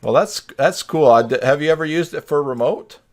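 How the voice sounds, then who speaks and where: A man's voice: on the high side, a little fast, a little mellow. A man hosting a live stream in casual, teasing chat on a video call. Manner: joking, teasing.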